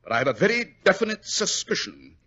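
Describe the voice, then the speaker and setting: Casting: upper-class English detective, crisp, courteous, brooding, bright highish lively voice, old radio mystery, muffled. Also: male voice, brisk, exaggerated voice. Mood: brooding, uneasy. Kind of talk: 1940s radio acting. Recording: muffled vintage radio broadcast with music.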